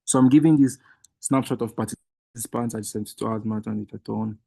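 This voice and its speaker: A man's voice: fairly low pitch, fairly even intonation, quick pace, gravelly, mellow, breathy edge, no room sound. A man whose first language is Igbo, explaining a screen-share tutorial step by step to a webinar group, patiently and with frequent restarts. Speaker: workshop trainer.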